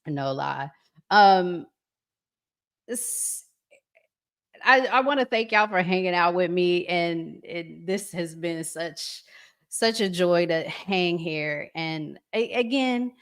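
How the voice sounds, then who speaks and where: An American woman hosting a relationship talk show, chatting to viewers and giving advice in an earnest, emphatic, deliberate way with pauses. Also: on the low side, clean tone. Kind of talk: live chat to viewers, reading comments, giving advice.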